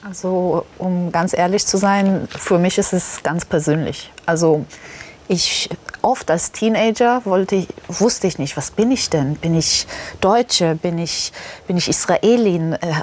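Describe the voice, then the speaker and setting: A woman's voice: on the low side, a little slow, darkish tone. A woman journalist speaking as a panel guest, personal and earnest. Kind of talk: panel discussion.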